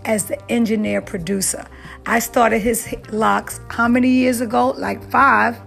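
A woman's voice: a little high, fairly even intonation, unhurried, vocal fry, fairly bright tone, faint music behind. A woman hosting a casual interview show, talking in an upbeat, emphatic way as she hypes up her guest. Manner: upbeat, emphatic, hype-building. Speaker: podcast host and hairstylist.

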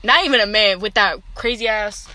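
A woman's voice: medium pitch, moderate pace, pushed and bright. An eighteen-year-old woman talking slangily and frankly in a casual group chat. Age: teens.

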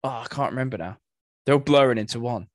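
A man's voice: medium pitch, quick pace, no room sound, studio quality. A man in casual podcast conversation as co-host, thinking aloud with some hesitation. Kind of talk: casual chat about video game movies.

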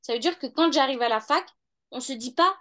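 High-voiced woman in her thirties. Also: lively intonation, brisk, pushed and bright. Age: 30s. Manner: hesitant, many fillers and restarts. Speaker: law student interviewee. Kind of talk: casual interview answers.

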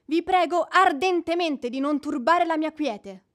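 An actress playing a haughty, easily offended widow in a radio drama, with prim, declamatory and indignant formality. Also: female voice, high-pitched, measured pace, fairly bright tone. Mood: coldly polite. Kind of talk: radio drama stage acting.

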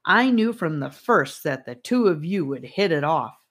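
A woman's voice: low voice, big pitch swings, normal speed. Lady reading as a cheerful boy leader in a storybook, bit theatrical, reassuring. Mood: assured.

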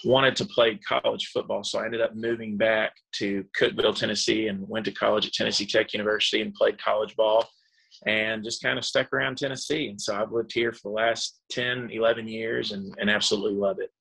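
A man in his thirties with a low, gravelly voice talks easily about his songwriting and his path into music. He is from Texas and the Dominican Republic, and he fills his pauses with "um" and "you know." His tone stays laid-back and friendly throughout. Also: level tone, fairly bright tone, low-quality recording. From born in Texas, raised in the Dominican Republic. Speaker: country singer-songwriter, guest. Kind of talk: relaxed interview chat.

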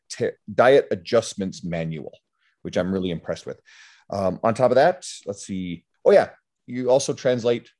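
A male podcast host with a low voice. He talks in a casual, chatty way and drops in small fillers as he goes. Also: a little mellow. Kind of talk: casual interview.

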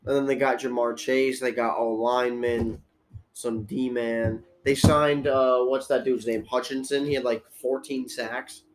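A young man talks casually with a New England accent, rattling off football stats and team news. His pitch sits in an ordinary middle range for a man. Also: flat delivery, unhurried, a little hiss or hum. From Connecticut. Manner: chatty sports talk, laughs easily. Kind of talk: casual chat.

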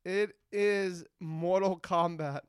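A man's voice: high-pitched, steady tone, slow pace, heavy background noise. A man co-hosting a comedy podcast, talking about movies with crude, exuberant enthusiasm. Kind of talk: casual movie banter.

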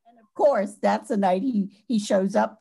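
A woman from Hawaii, a guest in a casual interview, warm and effusive as she talks. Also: mid-range voice, a little slow, creaky, husky.

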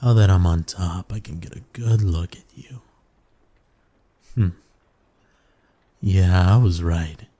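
A man plays a seductive male incubus in an intimate ASMR roleplay. His voice is very low and dark, and he takes his time, purring slowly. He is smug and dominant, yet coaxing and soothing. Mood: teasing, smug. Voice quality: low, breathy, husky. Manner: slow, teasing, purring, coaxing with pet names.

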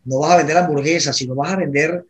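Venezuelan man with a higher-pitched voice. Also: fairly even intonation, quick pace, crowd noise. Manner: explanatory, uses rhetorical questions. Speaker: interview guest, consulting firm executive. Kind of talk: conversational interview storytelling.

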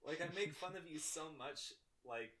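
A man's voice: a little high, lively intonation, a little slow, a crowd in the background, rough recording. A young man co-hosting a casual podcast, easygoing and teasing with short replies. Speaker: podcast co-host. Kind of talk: casual chat.

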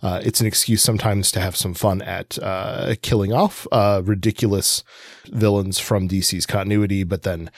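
A man co-hosting a podcast, explaining things conversationally and haltingly, piecing his sentences together as he goes. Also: sits low, steady tone, no room sound, studio quality. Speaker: podcast co-host.

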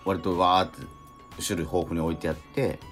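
Very low-voiced man chatting on radio. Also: steady tone, moderate pace, a bit bright, soft background music. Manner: agreeable, frequent backchannels and follow-up questions. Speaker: radio show host and actor. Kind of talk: casual radio chat.

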